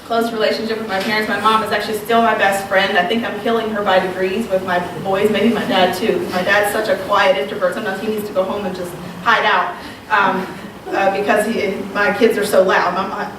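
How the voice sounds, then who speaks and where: A woman's voice: mid-range voice, little pitch movement, fairly quick, few pauses. Woman, 30s, slight Southern accent, conversational retreat speaker, distant mic, hissy background. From Southwest Virginia. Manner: conversational, self-deprecating, with frequent ums. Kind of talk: women's retreat talk. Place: large echoey room. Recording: very echoey, distant, noisy room recording.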